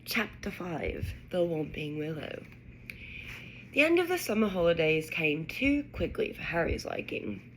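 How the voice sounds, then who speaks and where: A woman putting on a British accent to read a children's storybook aloud in a measured, expressive way. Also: lowish voice, slight background noise, poor audio. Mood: storytelling. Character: British storybook narrator reading a wizard school tale. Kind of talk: scripted narration.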